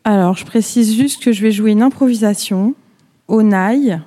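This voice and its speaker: A woman musician introduces her performance in a very soft, dark-toned voice. Faint noise and clicks sit in the recording. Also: mid-range voice, moderate pace.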